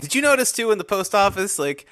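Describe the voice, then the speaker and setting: A man's voice: high voice, sing-song, quick pace, fairly bright tone, faint music behind. A man co-hosting a podcast, trading casual, wry and jokey banter about films. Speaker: podcast co-host.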